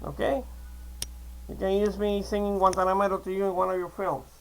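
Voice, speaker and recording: A man in his sixties from Cuba, with a notably high voice for a man, chats loosely to his camera. He wanders from point to point, restarts sentences and tosses in "okay?" to keep his viewers with him. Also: little pitch movement, a little fast, slight background noise, low-quality recording. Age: 60s. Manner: chatty, digressive, repeats "okay?" and restarts often. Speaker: vlogger. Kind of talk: casual rambling monologue to camera.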